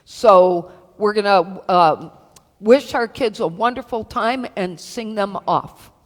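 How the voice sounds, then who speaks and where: A woman's voice: mid-range voice, takes it slowly. A woman leading a church service with informal spoken announcements to the congregation. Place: church sanctuary, live service. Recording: reverberant hall sound.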